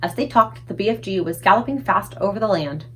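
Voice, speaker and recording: A woman reading a children's book aloud in an expressive storytelling style. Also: mid-range voice, a little fast, slight background noise, rough recording. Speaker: read-aloud book reader.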